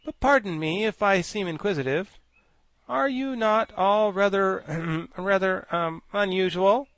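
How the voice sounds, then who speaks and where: A man voicing a pompous, learned male insect gentleman with grand, formal speech. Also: sits high, a little slow, no room sound, pitched above the performer's own voice. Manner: grandiloquent, formal, self-satisfied. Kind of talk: audiobook character voice.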